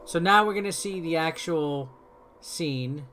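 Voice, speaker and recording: A man's voice: a little high, a little slow, a bit bright. A man giving informal, rambling gameplay commentary as a video host, explaining things step by step. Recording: music behind the voice. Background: game music.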